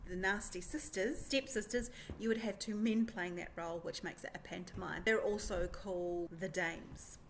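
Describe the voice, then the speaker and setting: A woman's voice: medium pitch, a bit bright. A woman presenter giving an informal explainer, chatty and emphatic. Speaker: presenter, pantomime performer. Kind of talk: informal explainer talk to camera. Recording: clear background noise.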